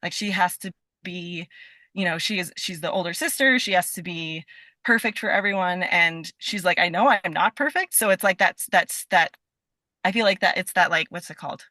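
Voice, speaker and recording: A woman's voice: lowish voice, moderate pace, pushed and bright, no room sound. A young American woman from Nevada chatting casually as a podcast guest, effusive and rambling, with lots of "like" in her sentences. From Nevada, United States. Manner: effusive, rambling, peppers talk with "like". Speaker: podcast guest, stage and voice actor. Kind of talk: casual chat.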